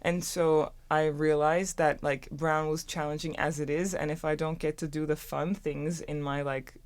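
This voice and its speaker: A woman's voice: sits low, flat delivery, normal speed. Chatty young woman from Turkey. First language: German. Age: 20s. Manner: chatty, lots of "like" and restarts, laughs often. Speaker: student co-host. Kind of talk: casual conversational storytelling.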